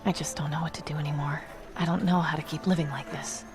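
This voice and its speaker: A woman acting a troubled young survivor in a video game. Her voice is lowish and natural, earnest and a little hesitant, with faint music underneath. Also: steady tone, quick pace, close to an everyday voice. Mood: despairing. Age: young adult. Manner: earnest, hesitant, plain-spoken.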